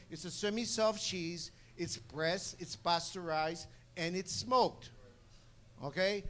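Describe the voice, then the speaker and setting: Haitian-accented man with a lively patter. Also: high voice. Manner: animated, repeats "okay?", laughs often. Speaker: cheese presenter. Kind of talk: casual live tasting talk.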